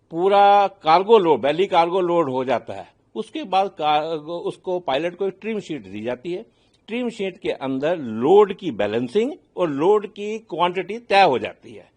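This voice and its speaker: A man with an Indian accent speaking as an expert interview guest, explaining step by step with everyday examples and short check phrases, switching between two languages. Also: on the high side. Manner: mixes English phrases into Hindi. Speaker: aviation consultant, expert guest.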